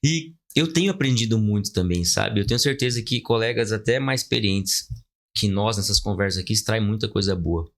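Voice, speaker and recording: Lower-pitched Brazilian man hosting. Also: a little fast. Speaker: podcast host, lawyer. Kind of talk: conversational interviewing.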